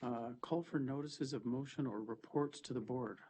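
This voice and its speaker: A man's voice: medium pitch, moderate pace, a little mellow, a little hiss or hum. A man with a cold, sounding a little stuffy, chairing a formal committee meeting and moving through agenda items. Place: board meeting room.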